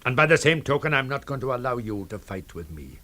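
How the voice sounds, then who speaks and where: Stern, insistent police inspector. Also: male voice, medium pitch, very animated, fast talker, sharp tone. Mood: cool, probing. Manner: clipped, probing, authoritative. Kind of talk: radio drama acting.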